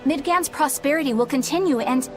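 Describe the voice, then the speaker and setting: A woman's voice: sits high, a little fast, natural voice. An actress playing an earnest, polite young woman exorcist in a video game cutscene. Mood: earnest, wondering. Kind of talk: animated game cutscene acting. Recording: background music over dialogue.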